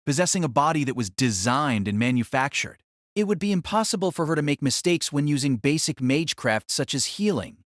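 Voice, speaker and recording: A man tells a fantasy story in a steady, even narration. His voice is a touch high and the sound is dry and close, with no room around it. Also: fairly quick, no echo. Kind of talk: scripted audiobook narration.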